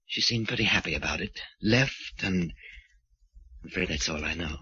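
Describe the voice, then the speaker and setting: An actor playing a deranged sculptor who kills to play Death, in theatrical, brooding 1940s radio style. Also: male voice, low-pitched, normal speed, sharp tone, slightly rough, no room sound, full character voice. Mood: feigned politeness. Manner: theatrical, brooding soliloquies, feigned politeness to others. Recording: muffled 1940s radio recording.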